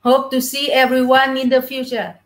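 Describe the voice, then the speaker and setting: A middle-aged woman with a Vietnamese accent answering interview questions on a video call in halting, simple English. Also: high-pitched, little pitch movement. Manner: halting, non-fluent English phrasing. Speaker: puppeteer, interview guest. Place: small indoor room with puppets, on a video call. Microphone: video call device at arm's length.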